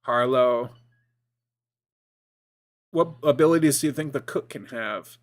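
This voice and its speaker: A man in his forties chatting casually as a streamer, rambling and excitable, with lots of fillers and restarts. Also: medium pitch, unhurried. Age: 40s. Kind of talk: casual gaming chat.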